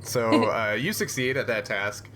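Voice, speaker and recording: A man's voice: mid-range voice, measured pace, noisy background. A man acting as game master for a tabletop sci-fi game, explaining things casually and a bit hesitantly.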